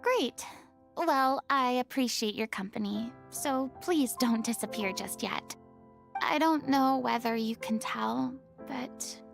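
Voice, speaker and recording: A woman's voice: fairly high pitch, vocal fry. A woman voicing a melancholy, vulnerable young former idol in a video game, with earnest, refined, theatrical delivery. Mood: wistful. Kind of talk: dramatic video game voice acting. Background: soft game music.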